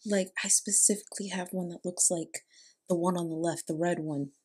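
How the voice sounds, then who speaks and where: A young adult woman giving casual reaction commentary in a gushing, expressive way. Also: lowish voice, moderate pace, breathy edge. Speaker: host. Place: small bedroom, indoors. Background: music.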